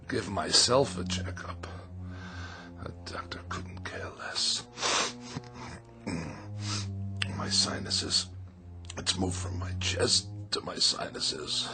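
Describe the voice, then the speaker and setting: An actor playing a bitter, self-pitying hypochondriac husband in a radio drama, querulous and theatrical as he accuses and complains. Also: deep voice. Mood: gloomy.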